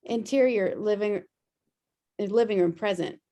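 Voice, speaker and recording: A woman's voice: medium pitch, a little slow, warm tone. A woman narrating stage directions at a script table read. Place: remote video call.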